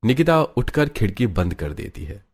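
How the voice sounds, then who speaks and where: Low-pitched man narrating a cartoon story. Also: very animated, normal speed.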